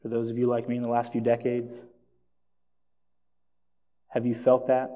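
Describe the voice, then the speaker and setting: A man's voice: mid-range voice, completely flat delivery, moderate pace, dull audio. A man born in Jamaica and raised in South Florida, preaching a sermon and telling stories with self-deprecating asides. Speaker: pastor. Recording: long hall reverb.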